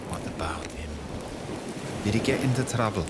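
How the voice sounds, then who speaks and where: A man's voice: lowish voice, animated, normal speed, very noisy, close to an everyday voice. A man playing a dutiful, down-on-his-luck Scottish sailor in an audio drama, guarded and reserved. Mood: uneasy. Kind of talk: audio drama acting with first-person narration.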